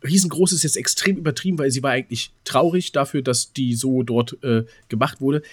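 A man tells a story as a podcast co-host. His pitch sits in the middle and the sound is close and dry. He talks casually, rambles, and re-enacts who said what. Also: fairly quick, slightly rough, no room sound. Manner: rambling, re-enacts remembered dialogue. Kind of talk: casual chat, telling an anecdote.

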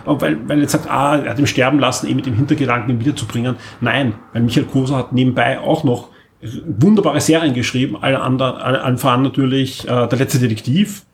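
A man's voice: mid-range voice, steady tone, fast, creaky, slight background noise. A man co-hosting a podcast in casual chat, wordy and enthusiastic, piling up emphasis in long monologues. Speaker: podcast co-host.

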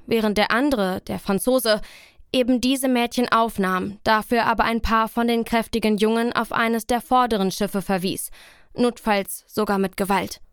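A woman's voice: a little high, smooth, dry recording, studio quality, close to an everyday voice. A woman narrating a radio drama in the first person, earnest and somber.

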